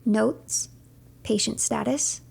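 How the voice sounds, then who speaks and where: A woman game streamer delivers dramatic story narration and reads documents aloud. Her voice is fairly high, with plenty of creak and a breathy, husky edge. The delivery is theatrical and deliberate. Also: darkish tone.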